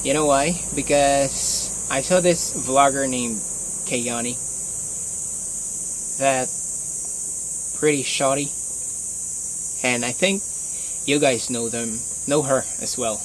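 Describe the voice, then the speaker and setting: A young Filipino man with a Filipino accent talks slowly into his phone, pausing and restarting often as he works through his second language. His voice sits at a middle pitch. Faint crickets and insects chirp behind him. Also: slight background noise, rough recording. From Philippines. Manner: hesitant, restarts a lot, many fillers. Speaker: vlogger. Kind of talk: casual rambling vlog.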